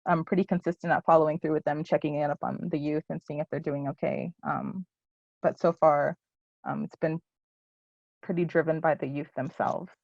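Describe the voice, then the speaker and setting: A woman's voice: low-pitched, fairly even intonation, normal speed, vocal fry, darkish tone, no room sound, lo-fi sound. A woman giving a casual presentation and answering questions at a virtual symposium, hesitant with many ums and restarts. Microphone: video call.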